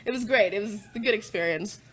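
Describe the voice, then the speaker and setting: A woman guest on a casual podcast, talking in a relaxed, rambling way and swearing freely as she tells her stories. She often trails off with fillers and breaks into laughter. Her pitch sits at an ordinary middle level for a woman. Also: fast talker, low-quality recording. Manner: laid-back, profane storytelling with frequent fillers and laughs. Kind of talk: casual chat.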